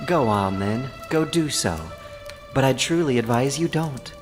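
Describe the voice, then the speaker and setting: A man's voice: mid-range voice, lively intonation, measured pace, faint music behind, voice pushed up, close to an everyday voice. A man voicing a proud, bitter, beautiful woman of ancient legend, speaking with careful scorn and reproach in an epic audio drama. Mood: scornful reproach.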